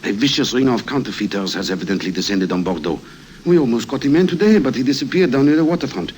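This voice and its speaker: An actor playing a dutiful young police commissioner in an old radio drama. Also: male voice, mid-range voice, expressive, quick pace, darkish tone, slight background noise, lightly acted. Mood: earnest. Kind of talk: 1950s radio drama acting.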